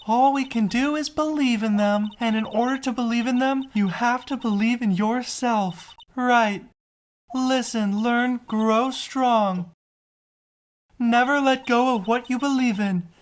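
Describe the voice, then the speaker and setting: A man voicing a poised, kindly woman who is a chief lawyer and mentor, measured and gently teasing, in a fan-dubbed game. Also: extremely high pitch. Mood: earnest, encouraging. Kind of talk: fan-dub video game dialogue.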